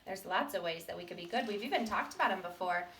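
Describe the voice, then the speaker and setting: A woman's voice: mid-range voice, lively intonation, fast, a bit bright, slight background noise. A woman teacher leading a children's read-aloud, speaking directly to young listeners with encouraging prompts. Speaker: teacher reading aloud to pupils. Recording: roomy echo, distant microphone.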